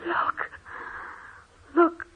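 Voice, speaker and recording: An actress playing a frightened wife in a melodramatic 1930s radio horror drama. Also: female voice, very slow, phone-quality sound. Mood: fearful. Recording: thin, band-limited 1930s radio recording.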